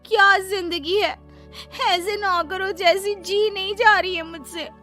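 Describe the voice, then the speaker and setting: A weary young daughter-in-law, played by a woman storyteller with a high, plaintive voice. She sounds bright and timid, in a moral-story style with music behind her. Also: steady tone, a little slow, full character voice.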